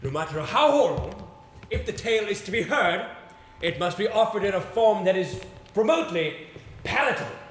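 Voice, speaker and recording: A male stage actor playing a brisk, commanding theatrical coach, projecting emphatic instructions. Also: sits high, expressive. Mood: brisk, insistent. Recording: echoey stage recording with background noise.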